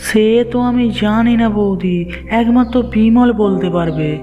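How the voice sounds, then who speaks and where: A man's voice: high voice, normal speed, lo-fi sound, faint music behind, lightly acted. A man playing an alarmed young village neighbour, urgent and melodramatic, in a fairy-tale cartoon.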